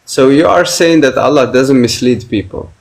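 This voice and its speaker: A man's voice: medium pitch, moderate pace, vocal fry. A man debating online, pressing his point with repeated questions and frequent hesitant fillers.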